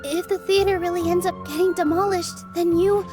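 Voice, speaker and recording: A gentle, earnest young dancer in a video game. Her voice is very high and soft. Game music plays clearly behind her. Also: fairly even intonation, fairly quick. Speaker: theater dancer.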